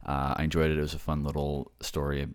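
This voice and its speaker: A man's voice: extremely low pitch, monotone, fast, smooth. A man who works as a voice actor, talking casually to his listeners in an outro.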